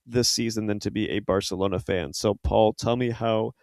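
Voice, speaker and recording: Smooth-voiced man in friendly banter. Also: lowish voice, steady tone, moderate pace, darkish tone, no room sound, studio quality. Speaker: podcast co-host. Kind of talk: casual soccer podcast chat.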